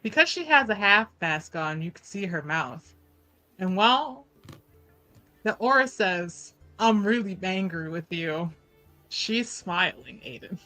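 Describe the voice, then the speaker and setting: A woman's voice: medium pitch, animated, measured pace, sharp tone, faint music behind, low-quality recording. A woman playing a tabletop game, casual and informal, telling her character's moves with playful drama. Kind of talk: casual improvised game chat and storytelling.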